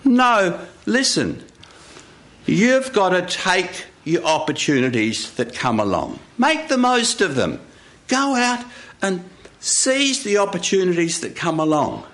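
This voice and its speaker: A man's voice: sits high, big pitch swings, slow, fairly bright tone, pitched above the performer's own voice, full character voice. A man voicing a patient but blunt Creator deity, emphatic and exasperated, in live storytelling. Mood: blunt, amused.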